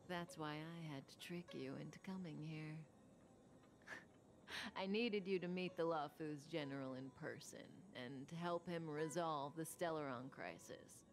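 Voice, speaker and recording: A composed, cunning outlaw woman from a video game, speaks in a fairly low voice at a very slow pace. She is soft-spoken and coolly confident, with a teasing, secretive air. Music plays clearly behind her. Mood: calm, confiding. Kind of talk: video game voice acting, scripted dialogue.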